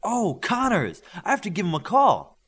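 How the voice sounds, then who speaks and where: A man's voice: high voice, wildly swooping pitch, normal speed, a bit bright, breathy edge, voice pushed up, exaggerated voice. A man voicing an eager, gushing teenage superhero girl in a storybook reading. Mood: excited. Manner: enthusiastic, gushing.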